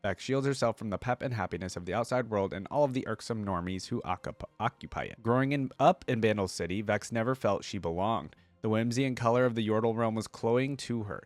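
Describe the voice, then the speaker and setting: A man hosting a reaction channel, casually commenting and reading aloud, thinking out loud with informal asides. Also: fairly low pitch, brisk, few pauses, no room sound.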